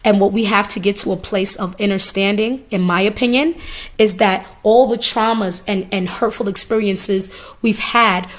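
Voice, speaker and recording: A hoarse woman giving a live oracle card reading, talking casually with swearing and repeated phrases. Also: medium pitch, fairly even intonation, normal speed. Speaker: spiritual reader.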